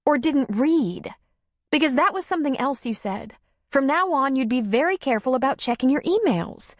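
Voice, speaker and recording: A woman's voice: very animated, moderate pace, no echo, studio quality, heavily acted. Woman voicing a tearful, demanding woman. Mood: accusing. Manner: high, tearful, insistent. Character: hurt, demanding woman pleading with her lover.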